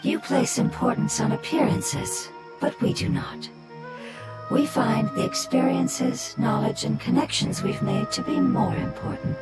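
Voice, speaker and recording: A woman voicing a calm, wise ancient creature who speaks in a measured, formal way with a slightly breathy voice. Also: sits high. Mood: solemn. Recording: game audio with loud music. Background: game music.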